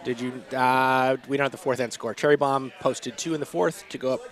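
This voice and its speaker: A man's voice: medium pitch, steady tone, a little fast. A man from New England doing casual, wry play-by-play commentary on a curling match. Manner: chatty, wry, stumbles over names.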